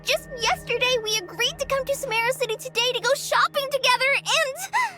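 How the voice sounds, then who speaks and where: A woman's voice: very high-pitched, a little fast, over loud music. A woman voicing an earnest, anxious young apprentice girl in a video game, hesitant and fretful. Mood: urgent, pleading. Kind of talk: video game cutscene acting.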